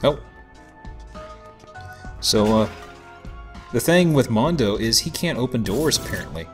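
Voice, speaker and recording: A man giving casual, joking let's play commentary in a rambling, halting way. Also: mid-range voice, animated. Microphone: voice-over microphone over game audio. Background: game music.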